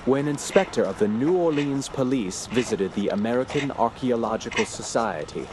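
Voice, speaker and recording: A man's voice: mid-range voice, expressive, moderate pace, noisy background, natural voice. A man playing a solemn nephew formally recounting his uncle's eerie investigation in an audio drama. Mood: grave, ominous. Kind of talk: scripted audio drama narration.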